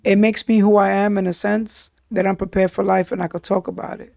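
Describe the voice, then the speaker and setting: Gravelly New man telling his story. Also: high-pitched, flat delivery, fairly quick, darkish tone, no room sound, studio quality. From New York City. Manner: street slang, frequent "yo" and "you understand?". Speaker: podcast host. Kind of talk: candid personal storytelling.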